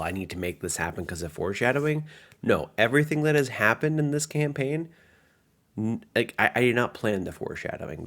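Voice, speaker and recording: A man's voice: on the low side, creaky, a bit bright. A man speaking informally and chattily as a game master, narrating and commenting on a tabletop campaign.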